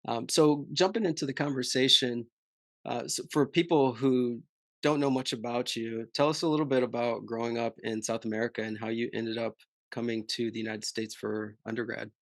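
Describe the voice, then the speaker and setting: A young man hosting a podcast interview, talking in a relaxed, friendly way with a few fillers. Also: mid-range voice, normal speed, no echo. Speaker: podcast host. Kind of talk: casual interview.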